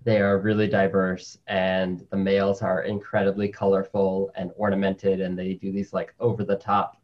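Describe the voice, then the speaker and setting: A man's voice: deep voice, completely flat delivery, moderate pace, lo-fi sound. A man who grew up in New York City after a move from Colombia, a spider scientist chatting casually as a guest and filling his talk with "like". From Colombia, raised in New York City. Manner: chatty, with many "like" fillers, laughs easily. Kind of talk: casual conversational interview.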